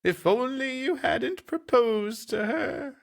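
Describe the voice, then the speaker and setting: A man voicing a sly, mocking fortune teller, teasing in a high, drawn-out put-on voice for a comedic story reading. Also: creaky, exaggerated voice. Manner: falsetto-pitched, teasing, drawn-out. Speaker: podcast host.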